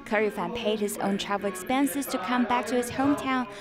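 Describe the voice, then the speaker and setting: A woman reporting a news story in an even, measured read. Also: a little high, over loud music.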